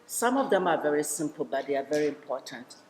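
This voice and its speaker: A woman speaking earnestly in a documentary interview, an executive director of a community organization who pauses often with fillers. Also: deep voice, expressive, a little mellow. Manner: earnest, measured, with frequent fillers. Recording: long reverb with faint noise.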